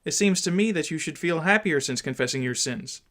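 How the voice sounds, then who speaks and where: Man voicing an earnest, worried mother, raised pitch. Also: animated, fairly quick, fairly bright tone. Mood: gentle concern. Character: worried, devout mother questioning her daughter. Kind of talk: dramatised audiobook reading.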